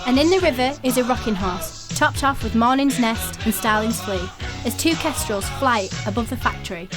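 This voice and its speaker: A high-pitched female voice over clearly heard music, an actress narrating a radio play as a lyrical storyteller. She recites measured, poetic lines about street life. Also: close to an everyday voice.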